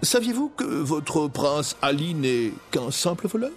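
A man's voice: a little high, sing-song, a little slow, faint music behind. A man voicing a scheming male vizier turned sorcerer, honeyed and mocking, in a storybook reading.

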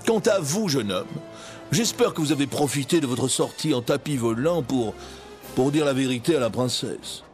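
A man's voice: mid-range voice, lively intonation, moderate pace, a little mellow, faint music behind, close to an everyday voice. A man voicing a scheming male vizier turned sorcerer, honeyed and mocking, in a storybook reading.

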